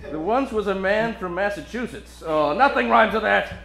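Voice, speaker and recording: A man's voice: sits high, animated, moderate pace, vocal fry, echoey room. A man playing a wisecracking, hard-drinking private detective with joking hard-boiled patter in a live comedic radio play.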